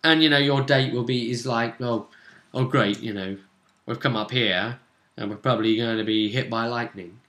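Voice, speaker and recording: A man casually narrating a tabletop roleplaying game as game master, in a halting, conversational way. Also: mid-range voice, fairly even intonation, a bit bright. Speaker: game master recapping a campaign session. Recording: echoey room.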